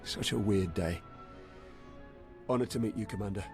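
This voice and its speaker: Man acting tired male army scout, low voice, humble, respectful, understated, video game dialogue, loud background music. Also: moderate pace, natural voice. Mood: awed. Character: weary, modest male military scout sergeant, respectful.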